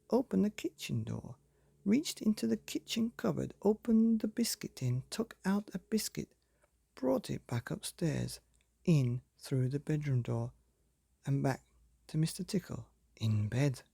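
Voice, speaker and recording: Guy doing a kids bedtime story, soft breathy gravelly voice, really animated up and down, dry close sound. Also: fairly high pitch, no room sound. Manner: deliberate, dramatic pauses, addresses young listeners. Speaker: storyteller, podcast reader. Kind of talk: expressive children's bedtime story reading.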